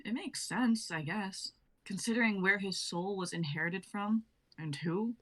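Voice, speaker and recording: A woman's voice: mid-range voice, measured pace, fairly bright tone. A woman playing a blunt, skeptical young woman. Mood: wary. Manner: skeptical, dry teasing. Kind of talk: amateur dramatic reading.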